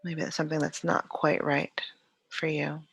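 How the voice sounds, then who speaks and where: A yoga teacher leading a guided meditation in a calm, soothing, unhurried way with long pauses. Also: mid-range voice, creaky, over music.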